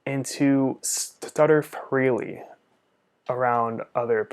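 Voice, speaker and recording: A man's voice: medium pitch, steady tone, takes it slowly, clean tone. A young man, a speech-language pathologist, answering viewer questions in a casual vlog, earnest and open, stuttering often with repeated sounds and blocks.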